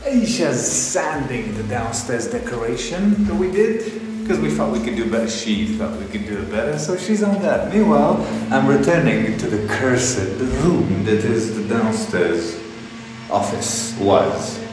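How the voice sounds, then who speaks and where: A man's voice: medium pitch, big pitch swings, measured pace, recorded from a distance. A man casually vlogging his house renovation, upbeat, chatty and jokey, talking in a bare room under construction. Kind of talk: casual home renovation vlog. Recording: very echoey empty room with long reverb, music underneath.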